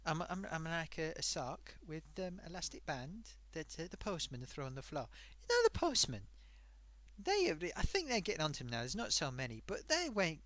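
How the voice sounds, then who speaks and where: A man's voice: a little high, fairly bright tone, no echo, heavily acted. A man voicing a chatty sock puppet host who rambles off topic and talks to viewers, his throat getting sore. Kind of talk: rambling comedic live-stream monologue.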